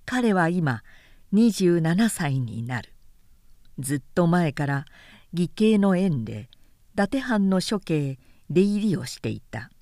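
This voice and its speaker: A woman reading literary fiction aloud as an audiobook narrator, with measured and carefully enunciated delivery. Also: fairly low pitch, warm tone, dry recording, clean studio sound.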